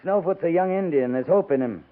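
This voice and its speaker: An actor playing a weary, principled cavalry captain in 1950s radio drama, clipped and grave in delivery. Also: male voice, on the high side, brisk, close to an everyday voice. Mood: earnest. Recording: muffled, narrow-band 1950s radio recording.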